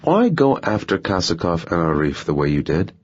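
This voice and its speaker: Cool, wary hitman for a thriller audiobook, read by a male narrator: extremely low, husky, clipped and dry. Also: wildly swooping pitch, moderate pace, full character voice. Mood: probing. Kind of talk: audiobook character dialogue. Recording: muffled, dull audio.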